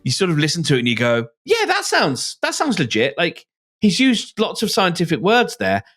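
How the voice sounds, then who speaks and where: A man's voice: fairly high pitch, wildly swooping pitch, normal speed, fairly bright tone, faint music behind. A man chatting casually on a podcast, feeling his way thoughtfully through his phrases. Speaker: podcast speaker. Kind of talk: casual discussion.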